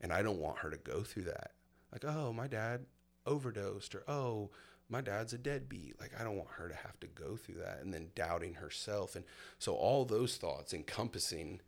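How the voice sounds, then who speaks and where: A man's voice: lowish voice, lively intonation, normal speed, gravelly. A man in his thirties telling his personal story in a casual, candid conversation, reflective and full of fillers. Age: 30s. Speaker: guest sharing his testimony.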